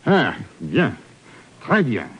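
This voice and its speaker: An actor playing a self-satisfied, excitable French inspector in a 1950s radio drama, with a French accent. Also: male voice, medium pitch, very slow, breathy edge, full character voice. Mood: smug, dismissive. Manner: excitable, dismissive, dropping in French phrases. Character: brisk, self-satisfied French police inspector, trigger-happy. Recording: muffled old radio recording.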